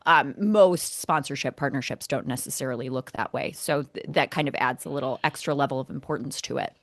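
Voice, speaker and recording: A woman's voice: very deep voice, fairly quick, no room sound, clean studio sound. A woman co-hosting a motorsport show in casual discussion, speaking in an opinionated, emphatic way.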